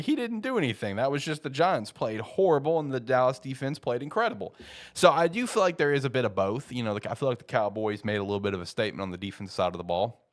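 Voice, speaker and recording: A man hosting a sports talk show, speaking informally and repeating himself for emphasis, slightly stuffed up with a head cold. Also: medium pitch. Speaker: host of a football show. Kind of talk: casual sports talk, opinionated commentary.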